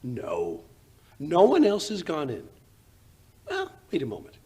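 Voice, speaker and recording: Casting: man to play husky, blustering gentleman sidekick, earnest and flustered, swooping intonation, old-time radio style. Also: on the high side, huge pitch swings, measured pace, heavily acted. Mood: self-satisfied, earnest. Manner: blustery, hesitant. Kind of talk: 1940s radio drama acting.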